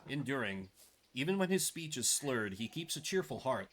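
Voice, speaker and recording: A man voicing an earnest, dutiful young woman in game dialogue, measured and restrained. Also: mid-range voice, very animated, natural voice. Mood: earnest, weary. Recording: clearly noisy background.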